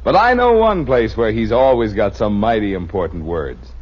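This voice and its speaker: An American man with a husky voice delivering a 1950s radio advertising pitch as the commercial announcer. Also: sing-song, moderate pace. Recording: muffled vintage radio broadcast.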